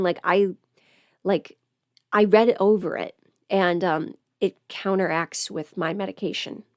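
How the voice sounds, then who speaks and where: A woman's voice: mid-range voice, no room sound, clean studio sound. A young adult woman chatting casually to livestream viewers in a rambling, informal way, often interrupting herself. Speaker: livestreamer and audio performer. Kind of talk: casual livestream chat while putting on makeup.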